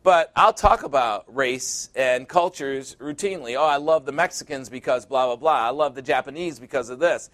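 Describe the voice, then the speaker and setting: A man in his sixties preaching a sermon, emphatic and repetitive, building to loud peaks. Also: mid-range voice, normal speed, hardly any pauses. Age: 60s.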